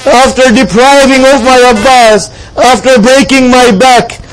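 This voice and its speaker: A man with a strikingly high voice delivers a religious lament as a preacher. He speaks slowly and solemnly, shaping the story with impassioned, plaintive drama. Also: steady tone, slight background noise, rough recording. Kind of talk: mournful devotional sermon storytelling.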